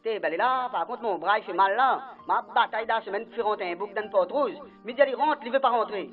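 A man's voice: high voice, fast talker, faint music behind. A man with a Réunion Creole accent playing a grumbling, cheeky heavenly gatekeeper in a comic radio serial, blustering through a telephone filter. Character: grumbling male Saint Peter, cheeky, easily distracted heavenly gatekeeper. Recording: tinny phone-line sound.

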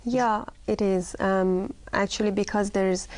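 A woman's voice: mid-range voice, fairly even intonation, unhurried, darkish tone, dry recording. A woman in her thirties with an Iranian accent, a guest telling her story steadily in a TV studio interview. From Iran. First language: Persian. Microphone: lapel mic.

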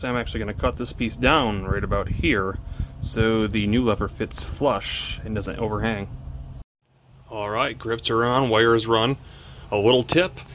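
A man giving a casual how-to narration of his DIY work, plain-spoken and relaxed, with plenty of "uh" fillers. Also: mid-range voice, slight background noise. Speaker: DIY mechanic vlogger.

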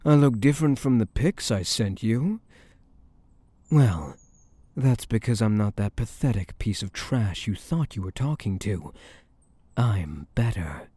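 A man's voice: on the low side, lively intonation, measured pace, warm tone, slightly rough, dry recording. A man playing a smug, possessive, flirtatious mafia boss in an intimate ASMR roleplay, with a teasing, drawling, menacingly sweet manner.

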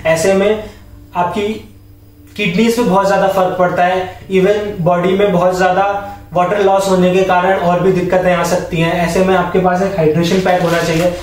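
Young Indian male vlogger, instructive product presenter, high register, recorded far from the mic in a bare room. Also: slight background noise. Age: young adult. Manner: instructive, addresses viewers as friends. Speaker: vlogger presenting camping and hiking gear. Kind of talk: explanatory product showcase to camera, Hindi mixed with English. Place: indoors, bare home room with wooden floor. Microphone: camera or phone a few metres away. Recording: echoey bare room, distant camera microphone.

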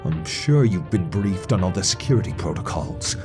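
A man's voice: deep voice, lively intonation, moderate pace, music in the background. A man voicing a prissy, put-upon wizard train steward in a comic dub, formally polite and sarcastic. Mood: snide. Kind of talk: comic dub character acting.

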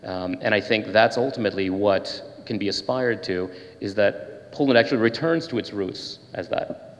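Lowish-voiced man speaking in a hall. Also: moderate pace. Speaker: panelist, tech executive. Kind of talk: unscripted panel remarks. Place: panel table in brick-walled indoor hall. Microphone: handheld microphone. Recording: echoey hall.

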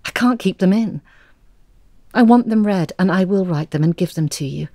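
A low-voiced, wistful woman. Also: moderate pace, dark tone, dry recording, studio quality. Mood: impassioned, resolute. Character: wistful woman confessing as a lifelong would-be storyteller. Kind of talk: intimate dramatic monologue in an audio drama.

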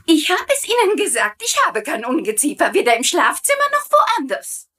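A woman's voice: high voice, huge pitch swings, moderate pace, bright, forceful tone, exaggerated voice. An actress playing a wary, puzzled woman living alone who turns coy, in a comedy dub. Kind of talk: German comedy dub acting.

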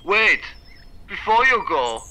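Man playing a sharp, piercing cult gatekeeper. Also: sits high, big pitch swings, slow pace, a little hiss or hum, full character voice, poor audio. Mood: eager. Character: creepy, eager male cult gatekeeper. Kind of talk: scripted audio comedy.

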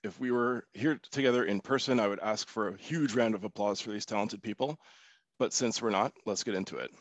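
A middle-aged man with a Canadian accent moderating a panel discussion in a casual, halting way over a headset. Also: lowish voice, dry recording. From Ottawa and Durham region, Canada. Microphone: headset on a video call.

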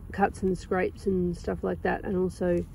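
A young woman with a croaky sore throat, chatting casually and rambling with lots of ums while filming her garden outdoors on a phone. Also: fairly low pitch, steady tone, slow pace, slight background noise, low-quality recording. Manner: rambling, chatty, with many ums and soft laughs. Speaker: gardening vlogger. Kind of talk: casual chat.

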